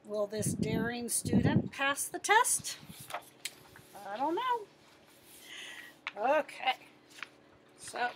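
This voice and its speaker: A woman in her fifties reading a picture book aloud as a teacher, expressive and putting questions to her listeners. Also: high-pitched, a little hiss or hum. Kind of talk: animated children's picture-book read-aloud.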